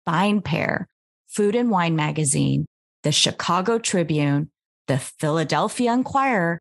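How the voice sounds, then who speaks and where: A woman hosts a podcast, moving from a scripted introduction to eager, gushing chat. Her pitch is a touch low for a woman, and she fills her questions with "um" and "like". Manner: enthusiastic, gushing, with fillers. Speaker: podcast host.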